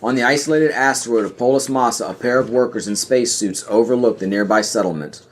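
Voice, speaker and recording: A man's voice: medium pitch, fast. A man reading scripted scene directions as the narrator of a podcast audio play.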